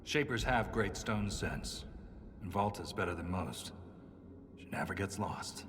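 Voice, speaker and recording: An actor playing a gruff, wry dwarf warrior in a video game cutscene, terse and dryly skeptical. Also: male voice, low voice, unhurried. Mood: wry, steady. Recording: long cavernous reverb, loud background noise.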